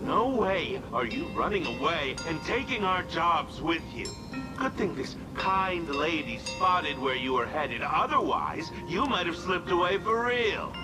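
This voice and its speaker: A man's voice: on the high side, very animated, unhurried, over music, rough recording. A man voicing a pushy corporate minder in a video game, scolding and theatrical. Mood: scolding, smug. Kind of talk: game story voice acting.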